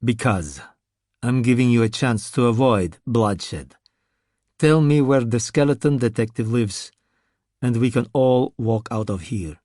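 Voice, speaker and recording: A man voicing a big masked male sorcerer with a Russian accent who speaks slowly and calmly with quiet menace. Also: medium pitch, dry recording, clean studio sound, natural voice. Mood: cold menace. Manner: slow, calm, quietly threatening.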